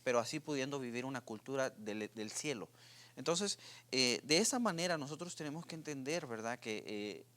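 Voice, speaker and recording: A man from Guatemala hosting a youth faith podcast, talking through ideas with many pauses and restarts. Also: mid-range voice, expressive, a bit bright. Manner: hesitant, many fillers and restarts. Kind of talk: casual faith discussion.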